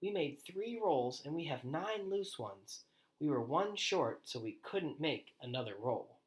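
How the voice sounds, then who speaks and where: A man acts out an eager little storybook girl. The voice is pitched high, excited and proud, exclaiming in a children's tale. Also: unhurried. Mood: excited, gleeful. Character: eager young girls, excited and proud counters.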